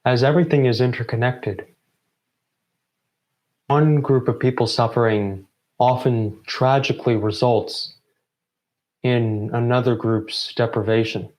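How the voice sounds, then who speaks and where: A man delivering a prepared closing address in deliberate phrases broken by pauses. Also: medium pitch, steady tone, darkish tone, poor audio. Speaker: member of a student theatre group giving closing remarks.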